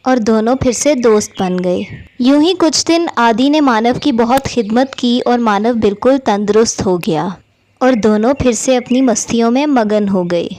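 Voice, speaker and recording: A woman's voice: on the high side, normal speed, a little mellow. A woman reading scripted narration for a children's cartoon story.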